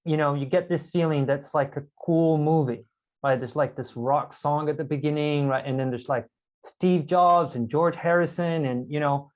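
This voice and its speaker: A man's voice: a little high, steady tone, moderate pace, lo-fi sound. A man from Brazil, a podcast guest, telling his story in a candid, reflective and self-amused way over a video call. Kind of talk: casual storytelling conversation.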